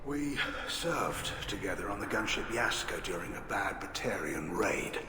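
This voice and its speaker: Gruff, low-voiced alien admiral. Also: male voice, expressive, a little slow, noisy background. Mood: wry reminiscing. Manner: blunt, clipped, wry. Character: gruff, hawkish middle-aged alien military admiral. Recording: echoey hall, music behind.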